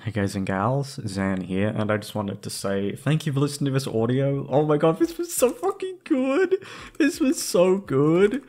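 A man's voice: medium pitch, sing-song, moderate pace, a little mellow. A young man, a voice actor, chatting casually to listeners in an effusive, sweary, slangy way. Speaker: voice actor, channel host. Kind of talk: casual outro chat.

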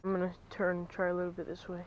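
A young man, vlogging as he fishes from a lake bank, chatty and excitable, dropping to a near-whisper at times. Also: sits high, racing, highs cut off, slight background noise. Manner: chatty, excitable, drops to near-whisper while stalking fish. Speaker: fishing vlogger. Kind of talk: casual vlog narration. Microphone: chest-mounted action camera.

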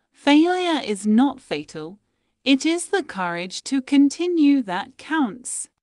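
Slow, lively-pitched woman reading quotes. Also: no room sound, studio quality. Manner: measured, deliberate reading with pauses between phrases. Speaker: quote reader.

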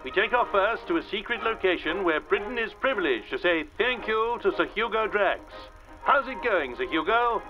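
An actor playing a gushing, patriotic 1950s newsreel announcer in a radio drama, pompous and rousing, through an old newsreel filter. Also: male voice, high voice, expressive, normal speed. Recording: muffled old newsreel, music behind.

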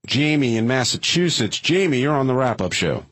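A man's voice: medium pitch, sing-song, normal speed, a bit bright, no room sound. A man hosting a radio call-in show, talking casually and putting questions to others. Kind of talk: casual studio talk, asking questions.

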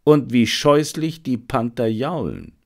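A man voicing an observant, brooding gentleman detective in an audiobook crime story, with measured, terse delivery. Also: medium pitch. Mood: watchful.

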